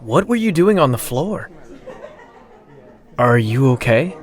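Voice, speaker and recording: A man's voice: medium pitch, very animated, takes it slowly. An actor playing a helpful, puzzled young man who is a passer-by in a radio drama. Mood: concerned, puzzled. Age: young adult.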